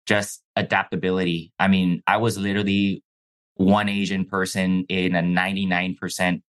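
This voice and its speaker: A young man from Mexico whose first language is Chinese, telling his life story as a podcast guest in a relaxed, slangy way. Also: deep voice, moderate pace. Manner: laid-back, says "bro" and "like" often. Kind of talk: casual interview storytelling.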